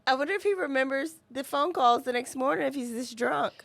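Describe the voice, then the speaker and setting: A woman's voice: sits high, brisk, creaky, no echo. A woman chatting casually as a podcast co-host, reacting to a story.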